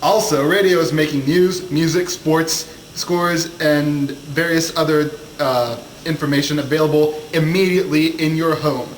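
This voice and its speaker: A man's voice: fairly high pitch, steady tone, measured pace, hardly any pauses, lightly acted. A man playing a gossipy, folksy 1920s newscaster in an amateur mock news broadcast, exclaiming and stumbling over the script. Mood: chatty, amazed. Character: chatty, gossipy 1920s newscaster, amazed by his times. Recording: roomy echo, faint noise.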